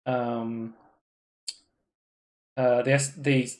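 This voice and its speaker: A man's voice: medium pitch, little pitch movement, drawn-out words. A man co-hosting a live gaming podcast, chatting casually and rambling through tech news with lots of fillers and restarts. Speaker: podcast co-host. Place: home room, live stream.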